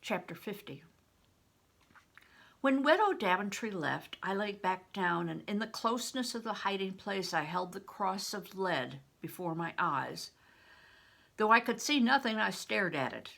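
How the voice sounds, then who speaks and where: An older woman narrates a story from a book at an unhurried pace. Her voice has a gravelly creak and a bright edge, and her delivery is expressive and deliberate. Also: medium pitch. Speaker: audiobook reader, a grandmother.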